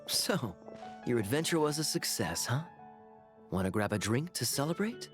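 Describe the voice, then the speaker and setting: Man voicing a animated, sharp young companion. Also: mid-range voice, moderate pace, soft background music, natural voice. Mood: casual. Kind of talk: video game voice acting.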